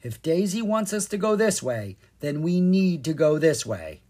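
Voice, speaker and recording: A storyteller voicing an eager, cheerful young boy in a children's storybook, emphatic and excitable. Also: medium pitch, moderate pace, pitched above the performer's own voice. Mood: confident, cheerful.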